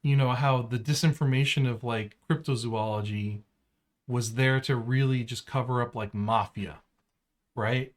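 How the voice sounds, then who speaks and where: Casual American man, mid-pitched podcast banter. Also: fairly even intonation, measured pace. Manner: slangy, peppered with "like" and "man". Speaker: podcast co-host.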